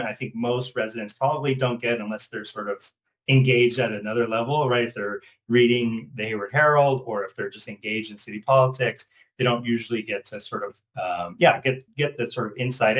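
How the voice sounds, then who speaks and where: A man with an American accent hosting an interview in a halting, wordy, conversational way, circling around his questions. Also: mid-range voice, a bit bright, poor audio. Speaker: podcast host.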